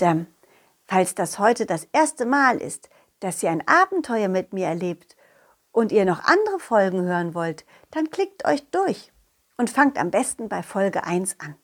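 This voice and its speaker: Woman playing a high-voiced, lively storytelling dog. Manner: childlike, animated, addresses young listeners directly. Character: confident, loyal male dog telling his adventures to children. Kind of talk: children's audio story narration.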